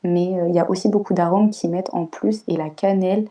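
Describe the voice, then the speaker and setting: A woman's voice: on the low side, fairly even intonation, fairly quick, mellow, far from the mic. A woman of Portuguese family, a podcast co-host, chatting casually and hesitantly with many fillers.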